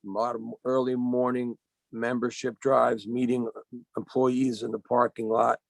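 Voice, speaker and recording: Gravelly man losing his voice. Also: medium pitch, level tone, no room sound, low-quality recording. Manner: halting, searching for words. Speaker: union organizer. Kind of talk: panel remarks on a webinar. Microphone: video call.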